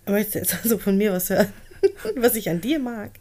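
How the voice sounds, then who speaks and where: A woman co-hosting a casual podcast, teasing her partner with quick, playful retorts. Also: medium pitch, lively intonation, warm tone. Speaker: podcast co-host. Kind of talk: casual chat.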